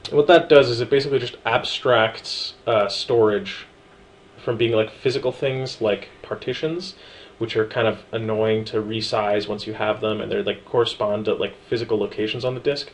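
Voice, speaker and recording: A man casually talking through a software setup tutorial, relaxed and chatty with frequent ums and small asides. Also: lowish voice, moderate pace, slight background noise. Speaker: tutorial presenter. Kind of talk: screen-recorded software walkthrough.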